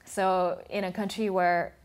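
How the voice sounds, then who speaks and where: A woman's voice: fairly low pitch, level tone, a little slow. A woman guest on a TV talk show, explaining her views in a thoughtful way with frequent restarts. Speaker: food and travel blogger, guest. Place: TV studio.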